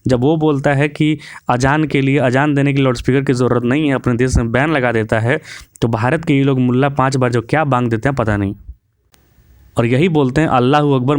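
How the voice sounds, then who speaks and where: A man's voice: medium pitch, no echo, studio quality. A man delivering an opinionated monologue as a video commentator, preachy and mixing in English words.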